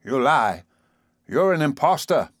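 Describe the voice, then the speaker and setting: Man playing a frantic, indignant gentleman. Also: medium pitch, expressive, brisk, no room sound, studio quality, close to an everyday voice. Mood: frantic rage. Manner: agitated, exclamatory.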